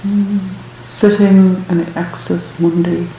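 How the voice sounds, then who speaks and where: Female meditation guide. Extremely slow, even delivery with long pauses, calm and unhurried, recorded in a very reverberant room. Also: on the low side. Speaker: meditation teacher. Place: large room at a retreat center. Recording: very echoey room, faint hiss.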